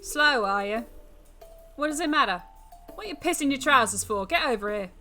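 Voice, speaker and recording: A woman's voice: sits high, animated, normal speed, bright, forceful tone, soft background music, voice pushed up. A woman voicing a bored, teasing ancient demon with a theatrical, mocking manner and cackles, in a dramatic visual novel reading. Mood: biting mockery.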